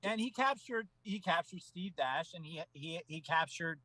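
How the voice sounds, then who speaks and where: A man in his fifties with a high, bright voice that rises and falls a lot, joking about getting old. His sound is close and dry, with no room around it. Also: animated, moderate pace, no room sound, rough recording. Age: 50s. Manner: joking, self-deprecating. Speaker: guest actor. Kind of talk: casual panel chat.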